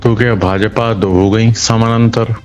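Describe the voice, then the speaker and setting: A man's voice: lowish voice, fairly even intonation, unhurried, faint music behind. A man answering an interviewer in a colloquial way, often repeating phrases for emphasis. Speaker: local political party member being interviewed.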